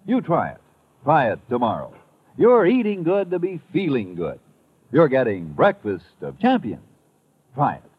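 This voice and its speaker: 1950s radio ad man: husky, hugely animated, emphatic upbeat sell, narrow tinny sound. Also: mid-range voice, moderate pace, long pauses. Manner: punchy, emphatic sales delivery. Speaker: commercial announcer. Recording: thin phone-like old radio recording.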